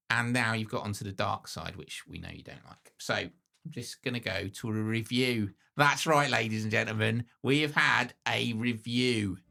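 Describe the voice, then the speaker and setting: A man's voice: fairly low pitch, moderate pace, gravelly, pushed and bright. A man in his forties with a scratchy voice, co-hosting a podcast in a teasing, jokey way. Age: 40s. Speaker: podcast co-host. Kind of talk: casual chat.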